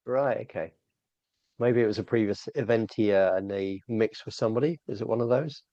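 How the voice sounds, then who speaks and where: Lowish male voice, chatty, filler words, dry muffled sound. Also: moderate pace, dark tone, dry recording. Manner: chatty, laughs often, fillers. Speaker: podcast guest. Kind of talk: casual panel chat.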